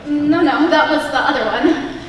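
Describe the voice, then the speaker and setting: A young woman acting an earnest, sentimental patriot in a high school stage play. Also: normal speed, natural voice. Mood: challenging. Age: young adult. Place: large school auditorium stage. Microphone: far camera. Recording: distant, noisy, echoey auditorium.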